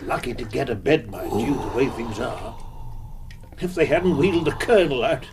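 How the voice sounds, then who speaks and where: An actor playing a chatty, wry hospital patient in a radio drama, folksy and darkly joking. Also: male voice, mid-range voice, lively intonation, moderate pace, noisy background, natural voice.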